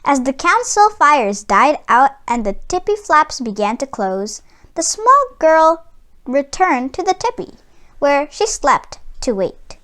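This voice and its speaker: A woman reading a children's story aloud as scripted, expressive narration. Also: high-pitched, slow pace. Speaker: storybook reader.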